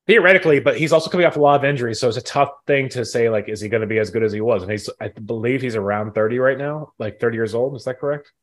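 A man's voice: medium pitch, rapid-fire, hardly any pauses. A man in his thirties co-hosting a sports podcast in a casual, conversational style. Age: 30s.

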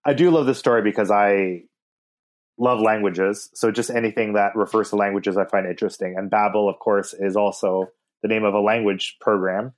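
A man's voice: lowish voice, fairly even intonation, moderate pace, faint music behind. A man co-hosting a podcast, talking through the weekly Torah portion in a thoughtful, rambling way with many restarts. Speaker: podcast co-host.

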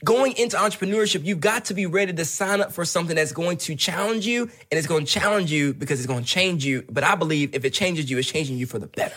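A man's voice: on the high side, quick pace, few pauses. A man, a guest entrepreneur, giving motivational advice in a preachy style. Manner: preachy, builds to shouting. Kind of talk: motivational talk.

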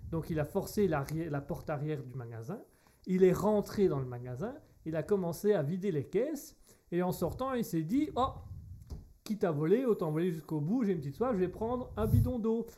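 Man, radio co-host, fairly high voice, mellow tone, playful and giggly. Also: lively intonation, normal speed. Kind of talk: joking radio banter.

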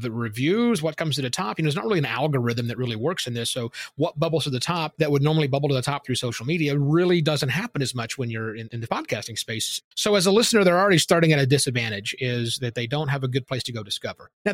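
Emphatic man, higher voice, dry close sound. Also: dry recording. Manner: opinionated, emphatic, explains with examples. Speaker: podcasting guest expert. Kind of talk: conversational interview answers.

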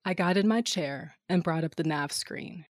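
Woman acting brash, low-voiced starship captain. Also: moderate pace, background noise, natural voice. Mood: matter-of-fact. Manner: wry, flippant, sardonic. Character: brash, cynical female smuggler starship captain, flippant and ruthless. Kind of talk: first-person audio drama narration and dialogue.

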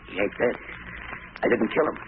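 An actor playing a nervous, defensive gangster underling in an old radio crime drama, slangy and pleading. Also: male voice, normal speed, natural voice. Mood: uneasy. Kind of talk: 1940s radio gangster acting. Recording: tinny old radio recording with faint noise.

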